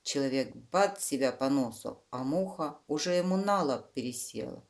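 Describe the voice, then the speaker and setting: A woman's voice: a bass voice, lively intonation, normal speed, vocal fry. A woman reading a children's story aloud, explaining things simply to young listeners with fond diminutives. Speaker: children's book reader, channel host.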